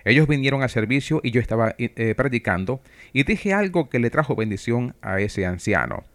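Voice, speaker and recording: Man, Venezuelan accent, radio preacher, didactic, measured delivery, mid-pitched voice. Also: animated, fairly bright tone. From Trujillo, Venezuela. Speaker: preacher and radio program host. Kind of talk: sermon talk for radio.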